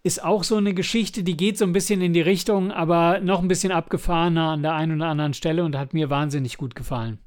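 A man's voice: a little high, fairly even intonation, fast, few pauses. A man co-hosting a podcast in casual review chat, chatty and enthusiastic when recommending things. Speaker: podcast co-host.

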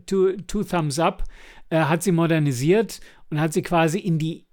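High-pitched man in casual review chat. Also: normal speed, a little mellow. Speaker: podcast co-host and audio drama maker.

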